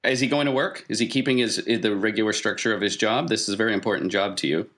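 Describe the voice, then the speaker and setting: Male game master, low register, questioning and steering players in a tabletop story game. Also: fast, a bit bright. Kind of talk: tabletop role-playing game narration and questioning.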